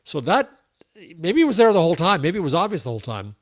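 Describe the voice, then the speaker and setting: A man's voice: on the high side, wildly swooping pitch, quick pace. Wry, digressive older man co-hosting. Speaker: podcast co-host. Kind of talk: casual chat.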